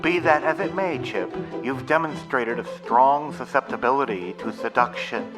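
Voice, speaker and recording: A man's voice: mid-range voice, moderate pace, over loud music, lightly acted. An actor playing a pompous, bossy, nerdy boy mission commander in an audio drama, speaking in formal, wordy phrases. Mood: pompous, stern.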